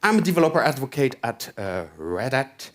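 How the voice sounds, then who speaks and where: A man's voice: medium pitch, sing-song, normal speed. French accent guy on stage doing a tech talk, voice bounces up and down a lot, keeps saying okay?. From France. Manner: chatty, keeps asking "okay?". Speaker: conference speaker. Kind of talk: tech conference talk with live coding. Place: conference hall stage.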